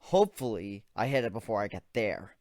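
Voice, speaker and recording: A man's voice: fairly low pitch, lively intonation, fairly quick, a little mellow, no room sound, studio quality. A man voicing a young griffin inventor, analytical and weary, in a dramatic story reading. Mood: focused, explaining. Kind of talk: dramatic reading of first-person fan fiction.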